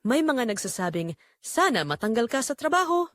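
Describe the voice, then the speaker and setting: A woman's voice: fairly high pitch, big pitch swings, moderate pace, a bit bright, dry recording, voice pushed up. A woman with a Filipino accent voicing a concerned co-worker, hesitant and tactful, in a story.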